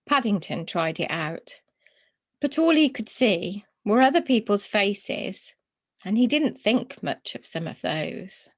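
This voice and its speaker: Female children's story reader, slow paced with very lively, varied intonation. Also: medium pitch.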